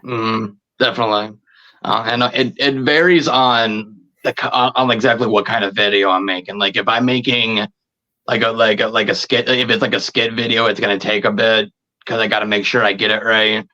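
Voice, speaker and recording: A man in his thirties chatting casually as a podcast guest, halting and often repeating his words and phrases. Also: fairly low pitch, fairly even intonation, bright, forceful tone, lo-fi sound. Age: 30s. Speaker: podcast guest, video creator. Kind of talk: casual interview chat.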